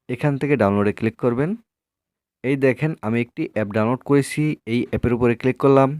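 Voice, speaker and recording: A man's voice: mid-range voice, a little mellow, no room sound, clean studio sound. Man narrating a phone tutorial. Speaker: tech tutorial video host. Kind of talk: scripted tutorial narration, addressing viewers.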